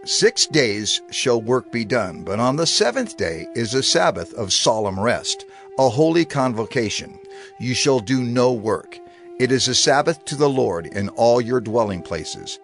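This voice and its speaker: A man voicing a grave proclaimer of divine law, measured and authoritative. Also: medium pitch, sing-song, soft background music. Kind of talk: dramatized scripture reading.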